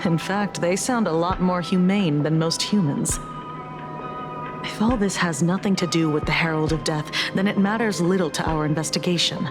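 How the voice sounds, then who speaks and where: An actress playing a cool, pragmatic investigator in a video game, measured and dry. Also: female voice, on the low side, natural voice. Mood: detached. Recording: music behind the voice.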